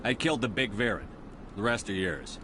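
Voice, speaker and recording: A man's voice: fairly low pitch, lively intonation, fairly quick, background noise, close to an everyday voice. An actor voicing a blunt, businesslike male starship commander in a video game. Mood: curt.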